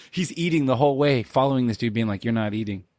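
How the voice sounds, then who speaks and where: A man's voice: mid-range voice, lively intonation, fast talker, a little mellow, no room sound. A man co-hosting a podcast in casual banter, laid-back and profane, with lots of filler words. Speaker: podcast co-host.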